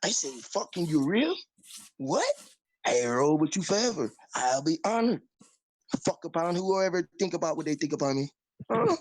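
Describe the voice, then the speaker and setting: A man's voice: a little high, sing-song, moderate pace, poor audio. A man in a put-on Caribbean island accent, rambling and boasting in profane casual chat on a call-in show.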